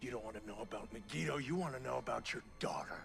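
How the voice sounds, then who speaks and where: An actor playing a smug, ruthless agency villain in a video game, with a taunting, condescending manner. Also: male voice, mid-range voice, fast, vocal fry. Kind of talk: cinematic video game acting. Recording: faint music under the voice.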